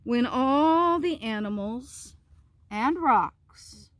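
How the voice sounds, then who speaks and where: A woman reading a children's picture book aloud outdoors, with expressive phrasing and casual asides. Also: sits high, extremely slow, dry recording. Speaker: storyteller, pastor. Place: outdoors in a park.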